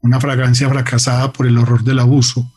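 A man's voice: mid-range voice, flat delivery, brisk, a little mellow, husky. A man narrating a radio drama in a solemn, literary, dramatic manner.

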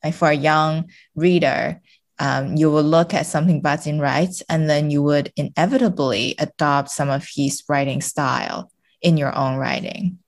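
A woman from China, a native Chinese speaker, talking casually about literature as a podcast guest, explaining in a halting way and often rephrasing. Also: low voice, steady tone.

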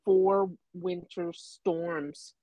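Podcast co-host, woman, slow and hesitant, low voice, close dry sound. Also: fairly even intonation, warm tone, no room sound. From Canada. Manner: hesitant, lots of ums and trailing sentences. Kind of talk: casual chat.

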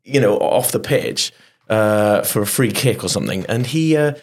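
A middle-aged man, a stage performer, telling animated stories in a casual interview, often restarting his sentences. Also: on the low side. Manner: animated anecdotes with quick restarts. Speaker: guest performer.

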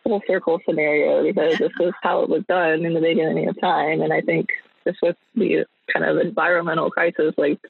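A woman's voice: low voice, level tone, fairly quick, vocal fry, breathy edge, no room sound. A young adult woman chatting casually as an interview guest, thinking aloud in a hesitant way. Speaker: guest, small business owner. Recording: thin phone-like line.

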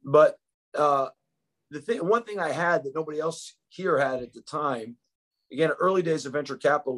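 A man in his fifties with a New York accent, speaking as a podcast guest. He tells his story in an easy, reflective way, often restarting a phrase with small fillers. His voice sits at an ordinary middle pitch. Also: fairly bright tone. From United States. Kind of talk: conversational interview storytelling.

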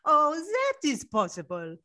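A woman's voice: high voice, huge pitch swings, takes it slowly, pushed and bright, heavily acted. A woman acting a coy, lilting foreign showgirl. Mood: flirtatious, curious. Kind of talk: old-time radio drama acting.

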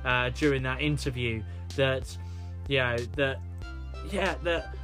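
A man's voice: mid-range voice, slow pace, fairly bright tone, soft background music. A British man hosting a solo podcast, rambling with many fillers and restarts. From United Kingdom. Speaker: podcast host.

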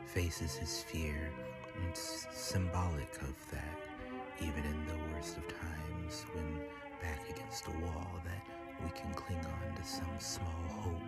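A man giving a measured, solemn reading in the style of a gothic audiobook. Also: a bass voice. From United States, Louisiana. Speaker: guest reader, horror writer.

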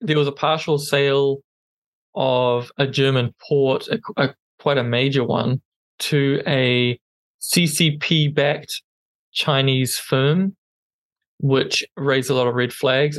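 A man's voice: medium pitch, no echo. Hesitant man, dry close sound. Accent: non-native English accent. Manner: hesitant, many ums and restarts. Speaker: podcast co-host. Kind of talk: casual news chat.

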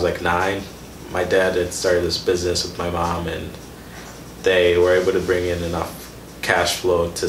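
Deep-voiced young man in an echoey room. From born in Sudan, raised in Kenya. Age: 20s. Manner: informal, slangy. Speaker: interview guest. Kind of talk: casual conversational interview, personal storytelling. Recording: echoey room with some background noise.

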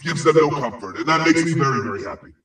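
A man's voice: on the high side, expressive, pushed and bright. Man playing a crude ghost in heavy echo. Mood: gleeful spite. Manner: pompous, insulting, halting delivery of absurd prophecies. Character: crude, gleefully spiteful ghost of a dead man. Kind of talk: improvised comic audio play. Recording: very echoey, distant-sounding voice.

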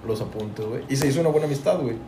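A man's voice: mid-range voice, rapid-fire. Slangy young Mexican man, echoey room. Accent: Mexican Spanish, from Tampico. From Tampico, Mexico. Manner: slangy, profane, says "güey" constantly. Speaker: podcast co-host. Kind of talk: casual podcast chat. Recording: echoey room with clear background noise.

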